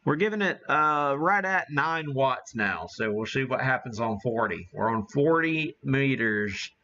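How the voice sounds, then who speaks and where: Man, lowish voice, unhurried and halting, casual livestream host thinking aloud, muffled lo-fi sound. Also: animated, sharp tone. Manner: halting, thinking aloud while operating equipment. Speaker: amateur radio streamer and host. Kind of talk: casual live demo commentary.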